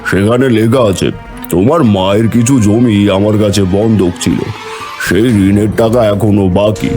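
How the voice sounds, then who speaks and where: A man's voice: lowish voice, fairly even intonation, measured pace, dark tone, faint music behind, natural voice. A man playing a stubborn, grasping village headman and moneylender in a cartoon, insistent and self-justifying. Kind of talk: Bengali cartoon voice acting.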